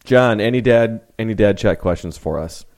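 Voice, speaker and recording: Podcast host, a man with a fairly low voice and dry humor. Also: normal speed, darkish tone. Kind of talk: casual chat.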